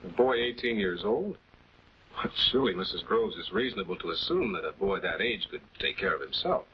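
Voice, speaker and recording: A man's voice: deep voice, animated. A man playing a composed, affable public prosecutor in a late-1940s television crime drama, speaking in a polite, conversational way. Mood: politely probing. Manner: conversational, polite, measured questioning. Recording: dull, noisy 1940s film soundtrack.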